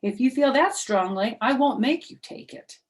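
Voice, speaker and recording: A woman voicing a firm, patient male elementary school teacher in a storybook, speaking in a deliberate, admonishing way. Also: on the high side, expressive, pitched above the performer's own voice, lightly acted. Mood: firm, conciliatory.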